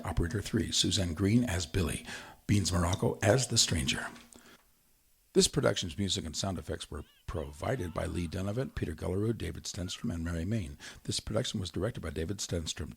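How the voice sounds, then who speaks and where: A man's voice: low-pitched, gravelly, breathy edge. A man reading scripted credits as an announcer. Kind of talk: scripted narration.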